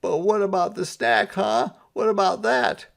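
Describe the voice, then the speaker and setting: A man's voice: sits high, steady tone, measured pace, vocal fry, a bit bright, voice pushed up, exaggerated voice. A man voicing a stubborn, agitated old Santa Claus in an emphatic, dramatic way for a storybook read-aloud. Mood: insistent.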